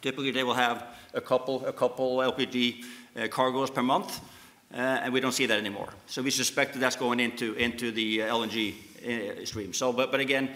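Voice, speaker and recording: A man's voice: mid-range voice, fairly even intonation, fairly quick, sharp tone. A man speaking as a conference panelist, often repeating words as he restarts. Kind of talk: panel discussion answer. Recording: echoey conference hall.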